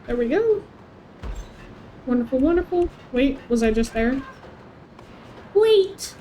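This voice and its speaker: A woman's voice: high-pitched, big pitch swings, slow, warm tone, a little hiss or hum, low-quality recording. A woman chatting casually and wryly while she plays through a video game on stream. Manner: playful, wry. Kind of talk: casual playthrough chat.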